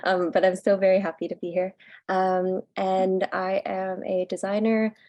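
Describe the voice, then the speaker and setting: A woman's voice: medium pitch, flat delivery, measured pace, darkish tone, dry recording, dull audio. A woman from Indonesia introducing her project on a video call in a casual, filler-heavy way, tired but upbeat. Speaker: fellowship presenter.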